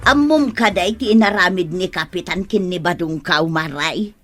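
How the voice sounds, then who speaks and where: A woman's voice: lowish voice, moderate pace, fairly bright tone, faint music behind. An actress playing an indignant, gossipy, opinionated village woman in a radio comedy drama, with an Ilocano Filipino accent.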